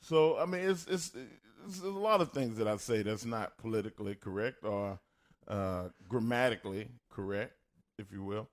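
A man's voice: lowish voice, normal speed. A man with a Texas country accent, co-hosting a casual podcast chat and telling stories in an easygoing way. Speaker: podcast co-host.

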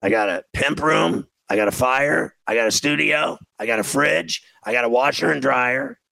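Gravelly man in his fifties, griping casually. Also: mid-range voice, moderate pace, bright, forceful tone, no echo, poor audio. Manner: self-deprecating, exasperated griping, slangy. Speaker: talk show host. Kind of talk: casual rambling comic rant.

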